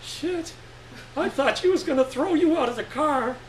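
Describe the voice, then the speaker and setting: Man acting out loud, high-pitched rowdy young boy. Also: normal speed, echoey room, far from the mic, slight background noise, low-quality recording. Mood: gleeful, amazed. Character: brothers Manny and Joel, rowdy young boys swearing and laughing.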